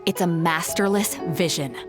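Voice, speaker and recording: A woman's voice: on the low side, normal speed, over music, lightly acted. A woman playing a bold, confident lady captain in a video game, breezy and teasing. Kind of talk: video game character acting.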